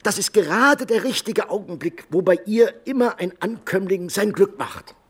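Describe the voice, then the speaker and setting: A man playing a brash, mercenary painter and go-between in a radio play, husky and boastful, with coarse emphasis. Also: sits high, moderate pace. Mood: gossipy, eager. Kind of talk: German radio play acting.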